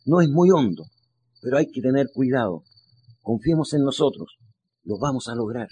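A Chilean actor playing a cautious, encouraging community leader giving instructions in a radio drama. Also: male voice, mid-range voice, expressive, moderate pace, mellow, a little hiss or hum, natural voice. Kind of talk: radio play acting.

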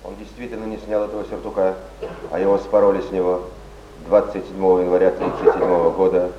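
A sober chronicler played by a man narrates briskly in a lowish voice. He is pointed and declarative, heard far off in an echoey stage recording with music behind. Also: completely flat delivery, highs cut off, background noise, natural voice. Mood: grave. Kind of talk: dramatic narration.

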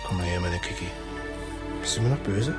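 An actor playing an affectionate, patient middle-aged father of young girls in a children's radio play, with a husky voice. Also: low-pitched, a little fast, loud music behind the voice, natural voice. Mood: affectionate, soft. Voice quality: husky, rough.

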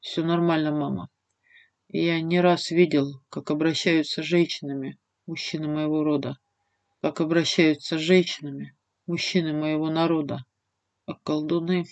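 A woman voicing a troubled teenage boy in a fantasy audiobook, speaking in a subdued, slow and terse way. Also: deep voice, steady tone, a little mellow, dry recording. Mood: subdued, reassuring.

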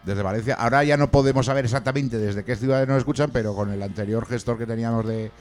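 A man hosting a casual online rock radio interview, chatty and loose, filling pauses with restarts. His voice sits at an ordinary middle pitch. Faint music plays behind him. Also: darkish tone. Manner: chatty, many fillers and restarts. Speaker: podcast host.

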